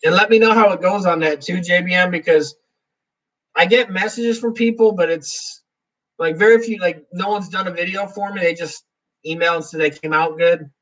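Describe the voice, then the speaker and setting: A man chats loosely through a live Q&A stream and reads viewer comments out loud between his answers. His voice sits a bit high for a man. He sounds set back from the microphone. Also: moderate pace, distant mic, low-quality recording. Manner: rambling, reads viewer comments aloud, chuckles often. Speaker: livestream host. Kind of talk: casual live Q&A chat.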